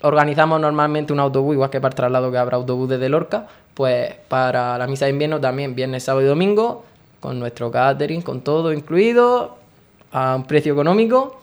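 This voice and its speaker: A man's voice: mid-range voice, fairly quick, clean tone. A young man in his late teens, earnestly and devoutly describing his faith in a radio interview. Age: young adult. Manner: earnest, devout, talks at length. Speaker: guest, brotherhood member.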